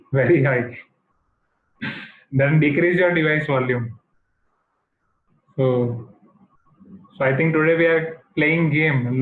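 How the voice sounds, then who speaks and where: A male maths teacher with an Indian accent teaching an online class, mixing in Hindi and checking in with students often. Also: medium pitch. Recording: echoey tinny online class audio.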